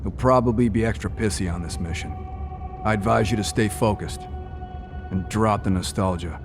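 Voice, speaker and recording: An actor playing a seasoned, sarcastic covert operative in a video game, trading banter in a dry, deadpan manner. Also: male voice, fairly low pitch, moderate pace, over music, close to an everyday voice. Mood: matter-of-fact. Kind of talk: video game character dialogue.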